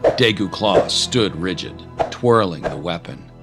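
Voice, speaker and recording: A man narrates a scripted adventure drama in a lively, widely varying voice that rises with the action. Music runs clearly behind him. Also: deep voice, unhurried.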